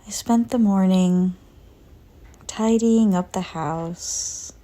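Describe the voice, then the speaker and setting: A woman narrating a guided visualization in a soft, breathy voice that often nears a whisper, calm and gentle with long pauses. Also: mid-range voice. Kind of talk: slow guided visualization, soothing scripted narration.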